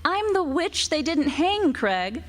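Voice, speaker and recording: A woman's voice: sits high, big pitch swings, slow, big-room sound, heavy background noise, heavily acted. Seductive, teasing witch. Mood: amused, teasing. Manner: coaxing, archaic storybook phrasing. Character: seductive, teasing immortal witch, promising riches and eternal life. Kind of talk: old-time radio drama acting. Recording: staged radio play with music.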